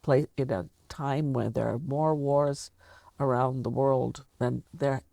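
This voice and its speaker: An older woman with a Canadian accent, talking reflectively and deliberately as an interviewer in a sit-down conversation. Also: a bass voice, steady tone, gravelly, warm tone, no echo, studio quality. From Canada, of Latvian descent. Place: furnished indoor lounge studio.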